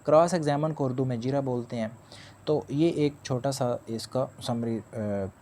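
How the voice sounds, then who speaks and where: A man's voice: mid-range voice, moderate pace, dark tone, slight background noise. A man giving a spoken law lecture. He explains step by step, restates each point and mixes in English legal terms. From Pakistan.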